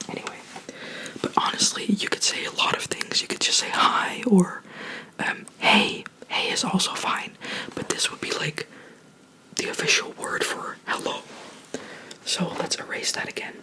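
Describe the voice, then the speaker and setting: A person giving a whispered ASMR language lesson, explaining in a soft, rambling, chatty way close to the microphone. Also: unhurried, poor audio. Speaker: language teacher.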